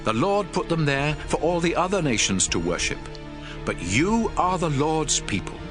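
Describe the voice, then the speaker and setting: Guy acting stern old prophet in a bible audio drama, solemn n emphatic, pitch moves a lot, music behind. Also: big pitch swings, normal speed. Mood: stern warning. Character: Moses, stern aged prophet exhorting his people. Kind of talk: dramatized scripture reading.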